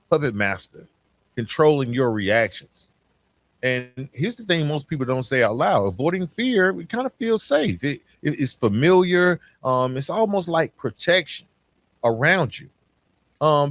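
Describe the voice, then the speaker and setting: A man's voice: on the high side, no room sound. A man hosting a podcast, talking conversationally and motivationally in a reflective, emphatic way, often checking in with the listener. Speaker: podcast host.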